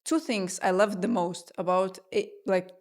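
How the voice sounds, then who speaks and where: A woman's voice: mid-range voice, normal speed, a little mellow. A woman in her twenties from Lithuania, a native Lithuanian speaker, chatting as a podcast guest with many fillers. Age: 20s. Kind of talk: podcast interview.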